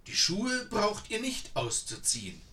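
A man's voice: high voice, wildly swooping pitch, measured pace, sharp tone, far from the mic, voice pushed up, heavily acted. A man voicing a kind, breathless, plump old village woman with an eager, fussing manner.

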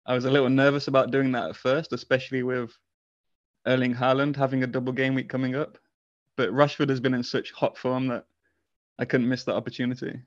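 Flat-voiced modest male podcast guest. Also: medium pitch, no room sound, dull audio. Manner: modest, measured, chuckles often. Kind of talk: casual podcast interview.

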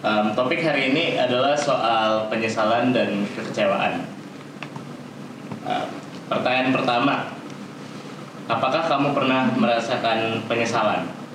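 A man's voice: medium pitch, measured pace, pauses often. Male host asking questions, super echoey, noisy background. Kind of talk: measured question prompts. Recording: very echoey, distant, noisy room.

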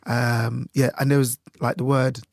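A Jamaican man in a radio presenter's easy, loose chat, with a dry sense of humour. His voice sits at a middle pitch. The recording is close and dead dry, with no room sound. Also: flat delivery, unhurried, vocal fry, darkish tone, no echo. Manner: loose, dry and chatty, with frequent fillers. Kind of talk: relaxed interview chat.

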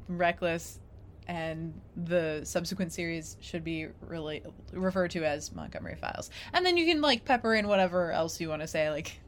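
Low-voiced woman chatting, noisy background. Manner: chatty, giggly, informal. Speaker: podcast co-host. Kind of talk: casual chat.

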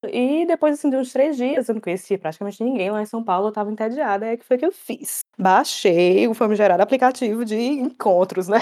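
A young woman telling stories in a casual chat, animated and laughing often. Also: on the high side, brisk. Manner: animated, laughs often, acts out quoted voices. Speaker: storyteller guest.

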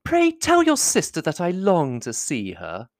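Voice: mid-range voice, huge pitch swings, unhurried, no echo, studio quality, voice pushed up. A reader voicing a fawning young society lady in a classic novel audiobook, effusive and coquettish.

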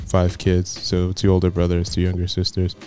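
Guy, low flat voice, faint music in the background, casual guest chat. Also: normal speed. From United States. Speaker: podcast guest.

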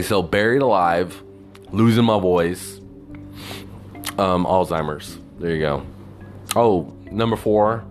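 A man with a very deep voice talks alone in a loose, meandering monologue, often checking in with "you know?" His tone is reflective and wistful. Soft music plays faintly underneath. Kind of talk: rambling personal monologue.

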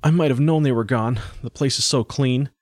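A man's voice: medium pitch, fairly quick, darkish tone, dry recording, pitched above the performer's own voice, close to an everyday voice. A man voicing an anxious young schoolboy in a story reading, pleading for his parents. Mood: dismayed.

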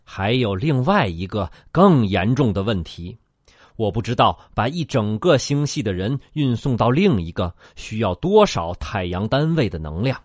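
A man acting in a sci-fi radio drama as a centuries-old future human, speaking in a measured, matter-of-fact way. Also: lowish voice, big pitch swings, a little mellow, husky, no echo, clean studio sound. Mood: concerned. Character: far-future man aged 223, measured, unsurprised questioner.

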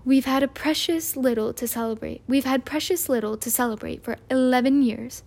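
A woman voicing a calm, gently amused old male wizard headmaster, in a mild, unhurried way. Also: sits high. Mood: gentle.

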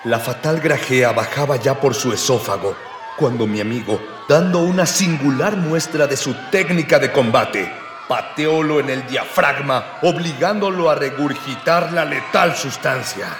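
A man's voice: medium pitch, a little slow, over music, natural voice. A man with a Mexican accent playing a pompous, grandiloquent narrator of a mock-heroic radio adventure serial. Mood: urgent, dramatic. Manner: pompous, grandiloquent, archaic phrasing. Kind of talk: florid old-fashioned radio serial narration. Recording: roomy reverberant sound with crowd behind.

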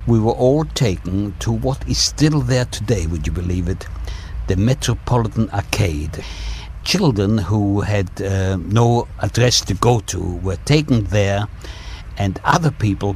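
A man in his seventies giving a personal testimony in a radio interview, speaking in a reflective, measured way with frequent pauses. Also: fairly low pitch, creaky, a little mellow, a little hiss or hum. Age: 70s.

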